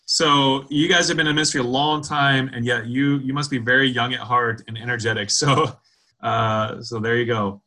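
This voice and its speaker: Bright-voiced man hosting an interview. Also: mid-range voice, level tone. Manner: friendly, halting with fillers. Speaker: host. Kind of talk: video call interview.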